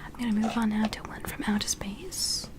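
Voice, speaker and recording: A woman whispering an ASMR roleplay as a gentle, curious alien medic, giving soothing, encouraging step-by-step instructions. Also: a little high, expressive, normal speed. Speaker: ASMR performer playing a medical examiner.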